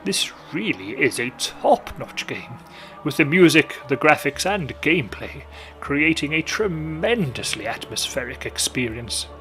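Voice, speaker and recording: Gentleman narrator, theatrical and measured, over steady music. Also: fairly high pitch. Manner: theatrical, genteel, measured storytelling. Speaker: storyteller host. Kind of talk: scripted narration. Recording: music behind.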